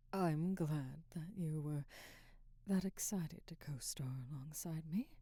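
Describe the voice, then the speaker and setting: A woman acts out a proud, guarded screen actress in middle age for an ASMR drama. Her voice is low, mellow and breathy, often close to a whisper. She speaks slowly in measured, formal phrases, and the sound is very dry and intimate. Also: no echo, heavily acted. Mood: softening, sincere. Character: haughty, guarded middle-aged Hollywood actress, softening. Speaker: ASMR voice actor. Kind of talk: ASMR roleplay, scripted dramatic monologue.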